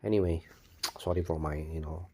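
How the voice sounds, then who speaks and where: Man, non-native English, low-pitched, soft dark tone, halting, repetitive, off-the-cuff monologue. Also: fairly even intonation, slightly rough. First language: not English. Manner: repetitive, halting, restarts words and phrases often. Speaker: video blogger. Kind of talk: spontaneous rambling monologue.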